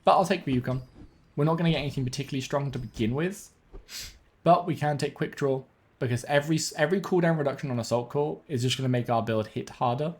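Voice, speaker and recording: A young man streaming a card game from home, thinking aloud in a relaxed way and correcting himself, sounding a bit sniffly and bunged up. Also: medium pitch, fast. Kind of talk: gameplay commentary. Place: home room with webcam. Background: faint game music.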